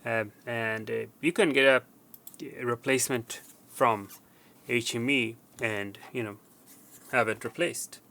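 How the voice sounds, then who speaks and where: A man's voice: medium pitch, steady tone, unhurried, sharp tone. A man presenting a casual, unscripted how-to demonstration, talking informally and conversationally and repeating words for emphasis. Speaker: presenter of a tech repair tutorial.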